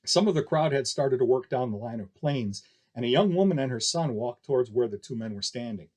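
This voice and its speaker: A man's voice: mid-range voice, animated, fairly quick, a little mellow. A man reading scripted fiction aloud as a narrator.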